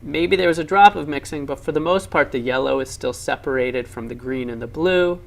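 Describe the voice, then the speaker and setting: Higher-voiced man explaining science, slightly creaky. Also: fairly quick. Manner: enthusiastic, emphatic explaining. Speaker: science educator narrating lab demonstrations. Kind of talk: explanatory educational film narration.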